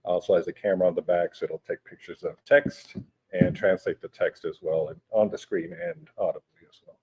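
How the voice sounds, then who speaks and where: A man in his forties whose first language is Spanish, explaining things in a relaxed interview with many hesitations and restarts. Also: low-pitched, a little fast, breathy edge, rough recording. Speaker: guest, education technology company director. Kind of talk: conversational interview. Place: conference venue.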